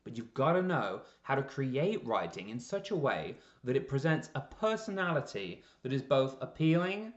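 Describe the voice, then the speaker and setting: Man, scripted explainer narration, measured emphatic phrasing, echoey room, slightly muffled lo-fi audio. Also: medium pitch, sing-song. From Canada. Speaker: presenter, freelance copywriter. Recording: roomy echo, slightly dull lo-fi sound.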